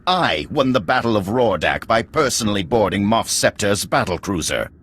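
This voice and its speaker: An actor playing an arrogant, boastful, defiant usurper in a video game. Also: male voice, fairly low pitch, very animated, normal speed, a bit bright, breathy edge, heavily acted. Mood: indignant, boastful. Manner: haughty, boastful. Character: arrogant, defiant middle-aged male usurper of a throne.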